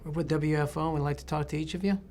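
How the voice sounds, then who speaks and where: Actor as brisk, quick-talking male investigator. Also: a little high, steady tone, darkish tone, slight background noise, natural voice. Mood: probing, official. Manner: formal, businesslike. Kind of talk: film interrogation acting.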